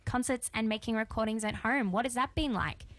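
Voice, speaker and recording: A woman's voice: fairly high pitch, a little fast, a bit bright, dry recording. A woman hosting a radio interview, asking questions and enthusiastically praising the answers.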